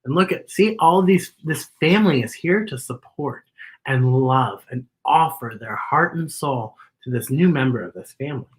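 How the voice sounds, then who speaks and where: A children's story-time host reading a picture book aloud with expressive, exaggerated delivery and chatty asides to young listeners. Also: medium pitch, normal speed, slightly rough, low-quality recording.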